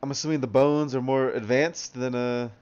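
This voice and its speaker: A man's voice: mid-range voice, fairly even intonation, normal speed, a little mellow, clear, resonant tone, muffled recording. A man chatting casually and profanely during a comic readthrough as an amateur voice actor.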